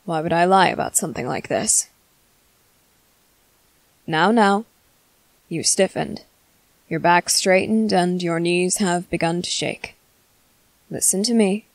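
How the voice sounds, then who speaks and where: A woman voicing a composed, menacing male vampire commander in an ASMR roleplay, speaking formally and deliberately with dramatic pauses. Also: lowish voice, gravelly, no room sound. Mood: calm, reassuring. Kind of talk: ASMR roleplay monologue to the listener.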